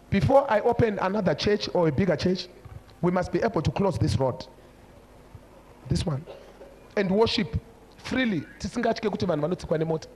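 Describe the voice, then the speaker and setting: A man's voice: sits high, a little mellow, slightly rough, rough recording. A man in his forties with a Zimbabwean accent preaching a sermon, emphatic and repeating short phrases. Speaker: preacher, church overseer. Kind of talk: sermon switching between English and another language. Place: large church hall before a congregation. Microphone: handheld microphone.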